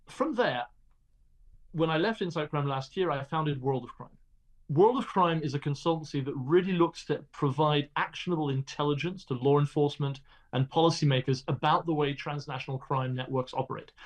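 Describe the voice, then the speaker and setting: A middle-aged man speaking as an interview guest, explaining in an articulate, measured way with the odd restart. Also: on the high side. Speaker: guest, crime journalist.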